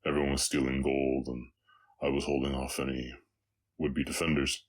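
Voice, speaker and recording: A man voicing a brooding, remorseful orc cowboy outlaw with a slight Southern US accent, speaking haltingly and somberly in an intimate confessional roleplay. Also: very deep voice, little pitch movement. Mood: subdued, regretful. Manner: halting, somber, long pauses. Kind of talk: intimate scripted roleplay audio, confessional storytelling.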